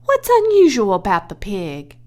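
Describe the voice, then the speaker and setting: A woman's voice: mid-range voice, wildly swooping pitch, measured pace, darkish tone. A woman voicing a bewildered, no-nonsense farmer's wife in a storybook, exclaiming and questioning. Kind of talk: children's storybook character voice.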